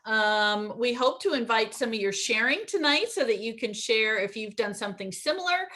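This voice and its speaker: A middle-aged woman with a fairly high voice leads an online teacher training over a video call. She talks in quick, upbeat bursts, full of ums and uhs. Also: lively intonation, bright, forceful tone. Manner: fast, upbeat, frequent ums.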